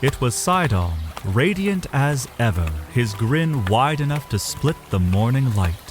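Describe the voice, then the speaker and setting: A man's voice: medium pitch, big pitch swings, measured pace, noisy background. A man narrating a scripted fantasy story as a literary, dramatic storyteller.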